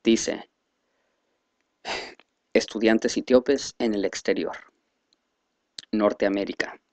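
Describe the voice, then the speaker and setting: A man reading a speech aloud in a halting, careful way, stumbling over words now and then. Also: fairly low pitch, darkish tone, dry recording. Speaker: reader presenting a selected speech.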